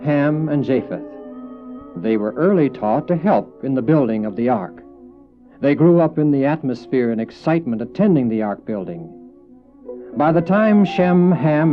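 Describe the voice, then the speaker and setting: A man giving formal, measured scripted narration for a dramatized Bible story. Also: mid-range voice. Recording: muffled old cassette, music behind.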